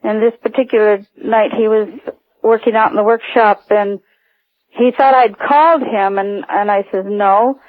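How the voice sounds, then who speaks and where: An older woman calling a radio show by phone, recounting personal stories in a hesitant, halting way. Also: mid-range voice, steady tone, dry recording. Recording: narrow tinny phone line.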